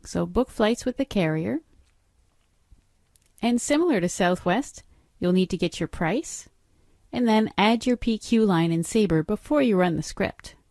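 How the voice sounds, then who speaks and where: A woman's voice: mid-range voice, animated, measured pace, a little mellow, dry recording. A middle-aged woman narrating a screen-recorded training walkthrough, explaining each step in a matter-of-fact instructional way. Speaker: software trainer.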